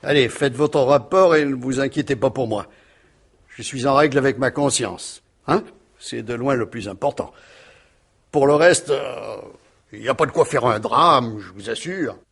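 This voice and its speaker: Husky, ironic, unbothered husband. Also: male voice, mid-range voice, moderate pace, fairly bright tone. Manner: ironic, nonchalant, evasive. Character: wry, unruffled husband suspected over his missing wife. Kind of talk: French radio mystery play acting.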